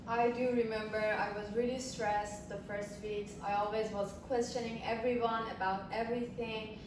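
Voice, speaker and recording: A woman's voice: fairly high pitch, level tone, moderate pace. A young woman from Iran, an international student, chatting casually and repeating herself when searching for words. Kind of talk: casual chat. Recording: echoey room, far from mic, noisy.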